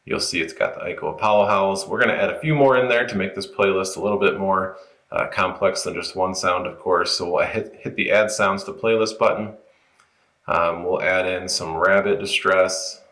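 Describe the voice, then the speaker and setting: A man with a deep voice walks through software steps in an informal, unscripted way. His tone is bright and forceful for its low register. The recording has a noticeable room echo. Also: moderate pace. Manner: informal, unscripted, explaining as he goes. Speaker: product tutorial presenter. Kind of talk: casual step-by-step app walkthrough.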